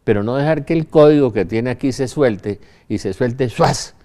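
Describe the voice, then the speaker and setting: A man's voice: mid-range voice, normal speed, warm tone. An older man with a Colombian accent giving an informal religious talk in an anecdotal, emphatic manner, often asking rhetorical questions. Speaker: religious speaker.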